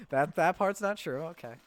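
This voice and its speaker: A man's voice: on the high side, lively intonation, moderate pace, no echo. A teenage boy hosting a casual podcast interview, with a lot of hesitant filler words. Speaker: podcast host.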